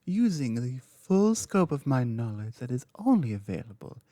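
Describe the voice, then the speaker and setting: A woman playing a powerful dragon queen in an ASMR roleplay, speaking in a deliberate, solemn manner that dips into whispers. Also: a bass voice, sing-song, mellow, dry recording, exaggerated voice. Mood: firm, measured. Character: powerful, commanding dragon queen training a human champion. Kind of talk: ASMR audio roleplay, scripted monologue.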